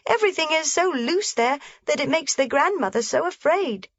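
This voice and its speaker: Brief: a woman reading as a small excited girl, high and lively, dry dull recording. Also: normal speed, no echo. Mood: eager. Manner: eager, pleading. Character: bright excited little girl.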